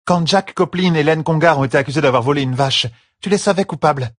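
A man's voice: fairly high pitch, fast, no room sound, studio quality, voice pushed up, close to an everyday voice. A man voicing a composed, assertive young noblewoman in an audiobook, speaking frankly and matter-of-factly. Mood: reasoning.

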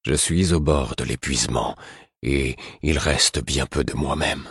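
A man voicing an exhausted, solemn king in an audiobook, speaking slowly and gravely. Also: very deep voice, a little hiss or hum. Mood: weary. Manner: slow, weary and grave.

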